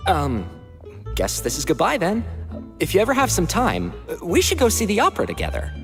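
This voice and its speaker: Low, awkwardly eager youngster. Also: female voice, wildly swooping pitch, fairly quick. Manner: hesitant, eager, rambling. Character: friendly, awkward, enthusiastic young opera fan. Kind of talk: video game voice acting. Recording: game music behind.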